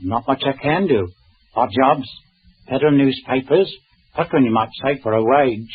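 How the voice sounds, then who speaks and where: Husky, fussy little witness. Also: male voice, mid-range voice, moderate pace. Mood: self-conscious, apologetic. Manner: deferential, fussy, hesitant. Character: timid, talkative, self-conscious working-class male witness. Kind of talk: 1940s radio drama acting. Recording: thin, phone-like old radio recording.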